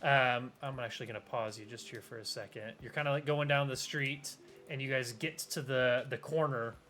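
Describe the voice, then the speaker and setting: Bright-voiced young game master rambling. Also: male voice, medium pitch, steady tone, normal speed, faint music behind. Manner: rambling, many fillers and restarts. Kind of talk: casual tabletop game narration.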